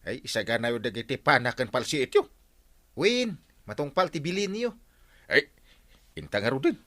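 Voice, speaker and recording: Village captain, Ilocano radio comedy-drama: earnest, excitable, mid-pitched with very lively swings. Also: male voice, moderate pace. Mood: brisk, resolute.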